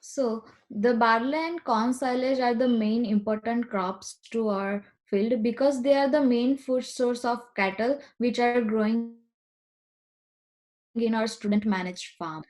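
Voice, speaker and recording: Higher-pitched young woman, Indian accent. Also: a little slow, a little mellow. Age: young adult. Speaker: student presenter. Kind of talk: prepared student presentation.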